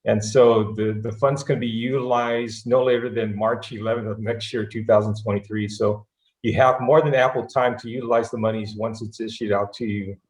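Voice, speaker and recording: A man who is a government branch office manager explaining a funding program, halting with frequent restarts. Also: lowish voice, level tone, a little mellow, echoey room, low-quality recording. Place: video call.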